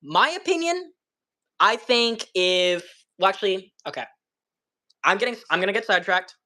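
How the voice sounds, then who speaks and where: Young man, 20, slight lisp, bright voice, joking, rambling debate guest. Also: high-pitched, expressive, moderate pace. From California. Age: 20s. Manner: joking, rambling, restarts himself. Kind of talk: casual debate chat.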